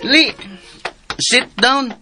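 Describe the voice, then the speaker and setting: A man's voice: very high-pitched, extremely slow, bright, forceful tone, faint music behind, full character voice. A man playing an eager, simple-minded, childlike young man in a radio drama, repetitive and pleading in his talk.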